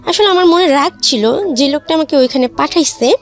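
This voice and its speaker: Bangladeshi woman telling her life story on radio, high creaky voice, plain everyday talk. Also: moderate pace, faint music behind. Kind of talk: personal life-story narration in a radio interview.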